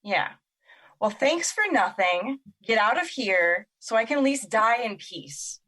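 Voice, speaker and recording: A woman's voice: fairly high pitch, expressive, a little slow, sharp tone, heavily acted. A woman playing a skeptical, bitter young girl in an audio drama, emotional and sardonic. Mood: bitter, hurt.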